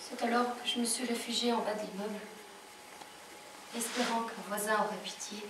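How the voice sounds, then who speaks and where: An actress playing a wistful, fiery, worn-down laundress in a dramatic theatrical monologue. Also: female voice, on the high side, fairly even intonation, brisk, close to an everyday voice. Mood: desperate, weary. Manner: dramatic storytelling, swinging from hushed to shouted. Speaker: actress performing a stage monologue. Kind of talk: theatrical French monologue with sung passages. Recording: echoey room from far off, faint noise.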